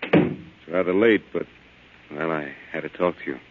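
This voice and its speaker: A man's voice: extremely low pitch, moderate pace. A man playing a weary, troubled husband in a 1940s American radio drama, speaking in a subdued, hesitant, polite way. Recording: muffled old-time radio recording.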